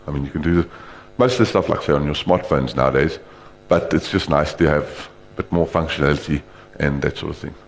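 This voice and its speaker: A man giving an informal, rambling live demonstration of screen reader software, talking loosely with frequent restarts. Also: extremely low pitch, fast, few pauses.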